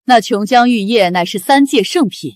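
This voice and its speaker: An actress playing a dignified divine queen mother, regal and measured. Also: a little high, lively intonation, dry recording, studio quality, natural voice. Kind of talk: historical fantasy drama acting.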